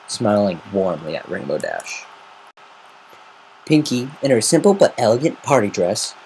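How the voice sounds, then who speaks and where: A man's voice: on the low side, a little mellow, slight background noise, poor audio. A man narrating a story in a semi-dramatic amateur reading. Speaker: amateur fan fiction reader.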